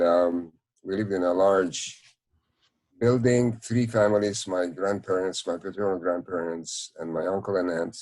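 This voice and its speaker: A man in his nineties with a Hungarian accent, recounting memories in an interview in a measured, halting way. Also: deep voice, darkish tone. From Czechoslovakia. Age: 90s. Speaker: Holocaust survivor, interviewee. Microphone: video call.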